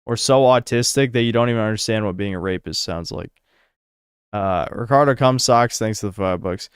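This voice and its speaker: This is a man in casual livestream chat, reading out donations and answering them bluntly and crudely. His voice sits on the low side and sounds smooth and resonant. The sound is dry and close, with no room echo. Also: normal speed.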